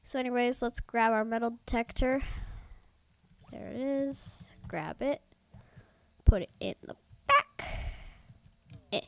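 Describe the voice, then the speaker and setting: Woman let's-play host, relaxed slow delivery, chatty and rambling, slightly off voice from allergies. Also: a little high, vocal fry, dry recording. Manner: chatty, rambling, talks to viewers as "guys". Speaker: gaming YouTuber. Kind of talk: casual let's-play commentary.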